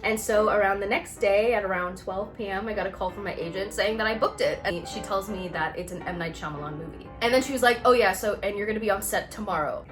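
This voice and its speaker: A woman chatting casually to camera as she tells a personal story, animated and conversational as she acts out reactions. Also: medium pitch, quick pace, hardly any pauses, soft background music. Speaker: actor and vlog host telling her own story.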